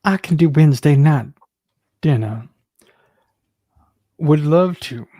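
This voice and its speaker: A man's voice: fairly high pitch, lively intonation, takes it slowly, mellow, dry recording, studio quality. A man on a casual live show, reading documents aloud as a panellist.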